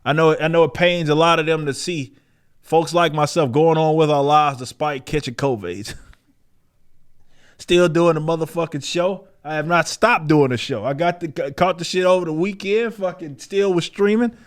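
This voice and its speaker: A man's voice: on the high side, normal speed. Combative, sweary man hosting a podcast. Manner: combative, sweary, challenging, emphatic. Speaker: podcast host. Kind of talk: opinionated casual commentary on a live stream.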